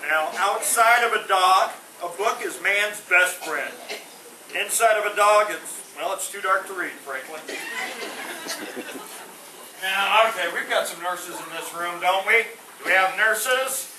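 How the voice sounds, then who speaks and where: Male stand-up comic, high voice, corny puns, recorded from across a roomy hall with background noise. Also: measured pace. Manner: corny puns with a sheepish trailing delivery. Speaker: stand-up comedian. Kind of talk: stand-up one-liners. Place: veterans home hall. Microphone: no microphone, far from the recorder. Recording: roomy hall from a distance, noisy.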